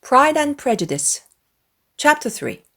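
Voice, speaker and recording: A woman reading a dramatized literary audiobook in an expressive, measured storytelling manner. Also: sits high, creaky. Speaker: audiobook narrator.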